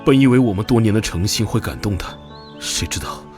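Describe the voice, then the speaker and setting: A man playing a frightened, earnest young porter who pleads desperately in an animated comic dub. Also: on the low side, a little fast, over loud music, natural voice. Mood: sorrowful.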